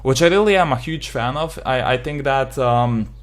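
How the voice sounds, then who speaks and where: A man's voice: mid-range voice, normal speed. Young Slovenian man rambling about a game. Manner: rambling, swears freely, many fillers. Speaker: gaming video creator. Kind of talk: casual opinion vlog.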